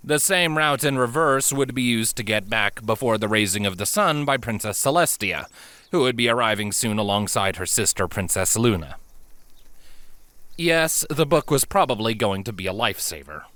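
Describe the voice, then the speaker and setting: A man reading scripted fiction as an audiobook narrator, expressive and measured in a dramatic storytelling style. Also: medium pitch, dry recording.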